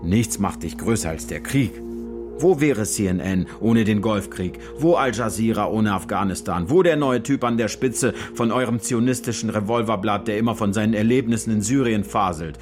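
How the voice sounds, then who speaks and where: A male actor plays a smug military officer in a radio play, with a fairly deep voice. He persuades with false friendliness and cool menace, talking down to his listener. Music plays clearly behind him. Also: moderate pace, natural voice. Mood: coolly threatening. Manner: condescending, persuasive, mock-friendly. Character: Syrian army officer, smug, calculating, coolly menacing blackmailer. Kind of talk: radio drama acting, naturalistic dialogue.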